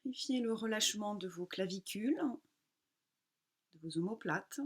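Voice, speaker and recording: A woman yoga teacher leads a guided relaxation in a mid-range voice. She speaks calmly and without hurry, giving her instructions in short phrases separated by pauses. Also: mid-range voice, slightly rough.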